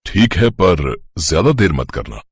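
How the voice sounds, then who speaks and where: A man voicing a gentle, tired older father who talks kindly to his daughter's friend in a cartoon story. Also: sits low, very animated, unhurried, background noise.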